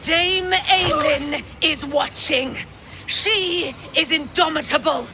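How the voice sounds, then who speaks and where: A woman's voice: sits high, takes it slowly, sharp tone, exaggerated voice. A woman playing a proud, indomitable immortal paladin in a fantasy game, with formal, declamatory delivery. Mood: triumphant fury. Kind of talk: dramatic video game acting. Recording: clear ambient noise.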